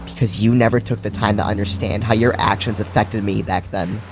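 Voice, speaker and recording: A man voicing a wounded grown daughter in a dramatic story, guarded and bitter, with a strained, halting delivery. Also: on the low side, steady tone, music in the background, natural voice. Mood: strained sorrow. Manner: strained, halting, sarcastic when angered.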